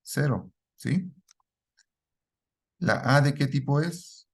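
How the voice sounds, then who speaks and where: A middle-aged man teaching a programming class, quizzing students and checking in with a quick "¿sí?" after each point. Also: mid-range voice, lively intonation. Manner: asks the class questions and repeats their answers, ends lines with a checking "¿sí?". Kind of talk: live classroom lesson.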